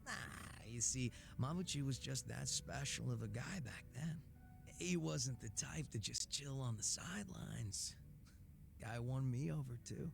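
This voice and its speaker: Laid-back gangster boss, gravelly creak. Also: male voice, mid-range voice, measured pace, background noise. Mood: wistful. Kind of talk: video game cutscene dialogue.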